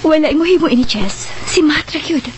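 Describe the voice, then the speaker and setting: A guarded, troubled woman in a radio serial, high-voiced with lively pitch movement. She is deliberate and pleading on a noisy track. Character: Clam, a guarded, troubled woman confiding secrets. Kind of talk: radio drama acting.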